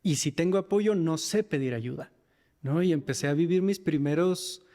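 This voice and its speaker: A man's voice: a little high, moderate pace, warm tone. Northern Mexican man, reverberant room. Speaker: podcast guest, men's mental health facilitator. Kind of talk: reflective personal interview talk.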